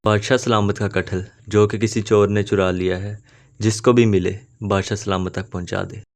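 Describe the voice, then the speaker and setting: A formal town crier of a storybook kingdom, voiced by a man. His voice sits low and stays very even as he proclaims. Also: normal speed, lightly acted. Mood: official, stern. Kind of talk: children's story character acting.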